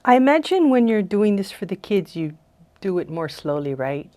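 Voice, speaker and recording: A woman's voice: mid-range voice, big pitch swings, moderate pace, warm tone. A woman interviewing guests as host, in a conversational style.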